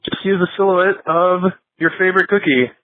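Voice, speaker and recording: A man giving a deadpan, halting comic sales announcement. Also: high-pitched, no room sound, phone-quality sound. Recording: band-limited radio-like sound.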